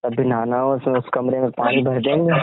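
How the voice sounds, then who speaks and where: A man's voice: medium pitch, level tone, brisk, a crowd in the background. A young man chatting casually and intimately with his girlfriend on a phone call, in a playful, teasing way. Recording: muffled, band-limited phone call recording.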